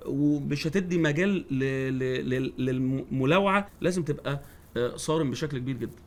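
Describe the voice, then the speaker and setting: A man's voice: fairly high pitch, brisk. A man in his forties with an Egyptian accent, a guest in a casual chat, who agrees often and repeats words as he restarts. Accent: Egyptian Arabic. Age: 40s. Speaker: guest, football coach.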